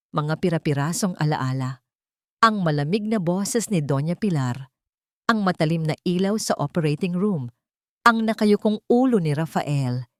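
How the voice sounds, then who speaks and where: A woman narrating a scripted dramatic love story as a storyteller, in measured, short dramatic phrases. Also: low-pitched, no room sound, clean studio sound.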